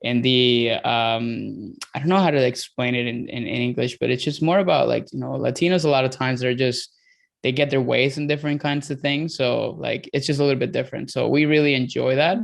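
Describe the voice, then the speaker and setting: A man's voice: mid-range voice, fairly even intonation, a little fast, creaky. A man from Venezuela in his thirties with a Venezuelan accent, a dietitian guest talking casually in an interview, rambling with many fillers and restarts.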